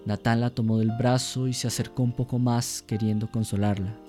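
A man narrating a literary radio drama in a measured, solemn, descriptive manner. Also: medium pitch, little pitch movement, dark tone, faint music behind.

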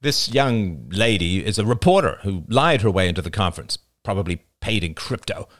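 A man's voice: lowish voice, animated, fairly bright tone, no echo, studio quality. A man playing a grave, commanding male conference speaker with a solemn, urgent manner in an audiobook. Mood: stern. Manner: solemn, deliberate warnings, barking when roused.

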